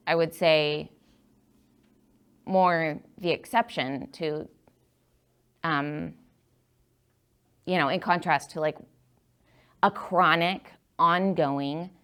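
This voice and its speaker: A woman's voice: deep voice, fairly bright tone, smooth. A woman speaking at a public meeting, chatty and hesitant, with many fillers and restarts. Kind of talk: casual talk with audience questions. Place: meeting room.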